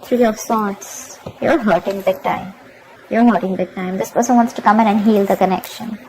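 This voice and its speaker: A woman's voice: medium pitch, steady tone, moderate pace, noisy background. A woman giving a tarot reading to her viewers, emphatic and repetitive, often checking in with "okay?". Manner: emphatic, repeats herself, keeps asking "okay?". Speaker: tarot reader.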